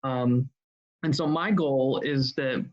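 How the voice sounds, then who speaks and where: A middle-aged man with an American accent giving an informal online presentation, speaking in deliberate, halting phrases with many filled pauses. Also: medium pitch. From United States, Ohio. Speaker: guest presenter, workshop leader. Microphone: video call. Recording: dull, lo-fi video call audio.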